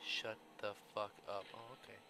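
A man's voice: on the low side, little pitch movement, normal speed, creaky, background noise. A young man with a cold doing casual, swearing commentary over a game he is playing. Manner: swearing, offhand. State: has a cold. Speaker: let's play host.

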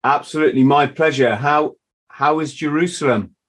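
A man's voice: a little high, normal speed, a bit bright. A middle-aged man hosting a podcast over a video call from a home office, chatty and self-deprecating, laughing easily. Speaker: podcast host. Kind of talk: casual interview chat. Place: home office with a wall map. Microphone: video call webcam.

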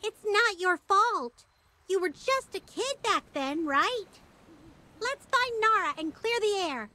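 Casting: tiny boastful game critter, extremely high, squeaky, bouncing melody, cute and plucky. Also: female voice, lively intonation, takes it slowly, bright, forceful tone, heavily acted. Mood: reassuring. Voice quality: squeaky, childlike. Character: small, plucky, cute, boastful animal sidekick. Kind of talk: cartoonish video game acting.

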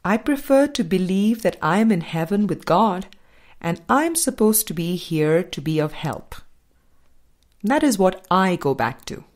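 Slow-paced woman reading a book aloud. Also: fairly low pitch, very animated. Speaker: audiobook narrator.

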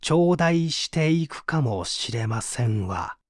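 Man voicing a genteel, coldly polite woman, very slow. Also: a little high, expressive, dry recording, clean studio sound. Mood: cold, wistful. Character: composed, coldly polite woman's farewell letter.

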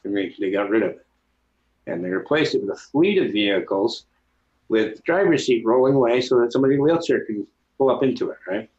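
A man in his thirties from New York, a guest speaking calmly with many pauses over a video call from home. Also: medium pitch. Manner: calm, plain, many filler pauses. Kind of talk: casual interview chat. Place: home room. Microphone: video call with webcam mic. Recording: dull lo-fi webcam audio.

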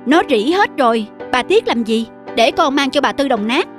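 Exasperated middle-aged son, status-conscious cartoon character, music underneath. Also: male voice, very high voice, animated, moderate pace, a bit bright. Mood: impatient. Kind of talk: animated film dubbing. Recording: music behind the voice.

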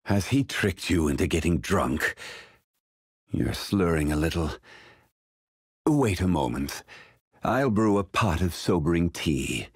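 An actor playing a calm, formal ancient god in a game, speaking in a slow, measured, dignified way. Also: male voice, dry recording, clean studio sound, heavily acted. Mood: dryly helpful. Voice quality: deep, measured, husky. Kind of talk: game character voice line.

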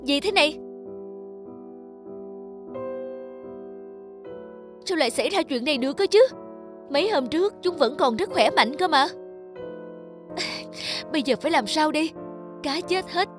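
A woman's voice: sits high, lively intonation, moderate pace, pauses often, music in the background. A woman playing an earnest, determined young male fish farmer in a cartoon. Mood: shocked despair. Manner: earnest, resolute. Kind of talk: cartoon dub acting.

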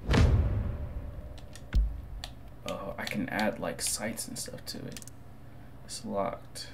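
A man in his twenties commentating on a game through a headset, excitable and slangy, repeating himself. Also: sits low, slow, creaky, background noise. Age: 20s. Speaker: gaming streamer. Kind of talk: casual gameplay commentary.

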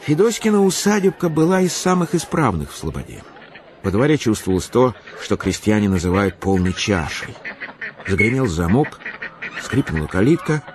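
An actor reading as the author-narrator of a literary period tale in a radio play. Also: male voice, fairly low pitch, very animated, normal speed. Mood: measured storytelling.

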